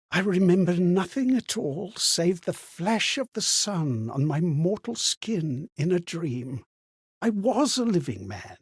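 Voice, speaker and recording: An actor playing a frail, forgetful old man in a video game, anxious and kindly, with a hesitant, plaintive delivery and a husky, breathy voice. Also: high voice, no room sound, studio quality, heavily acted. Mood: troubled, grave. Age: older. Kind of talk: theatrical video game character acting.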